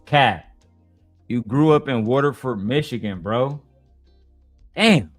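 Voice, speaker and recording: A man's voice: mid-range voice, animated, slow, dry recording, faint music behind. A man streaming, reacting to video clips with blunt, profane, mocking commentary.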